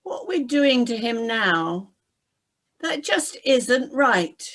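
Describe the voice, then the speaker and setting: A woman voicing a troubled, conscience-stricken male Roman soldier, speaking slowly and earnestly. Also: on the high side, sing-song, fairly bright tone, exaggerated voice.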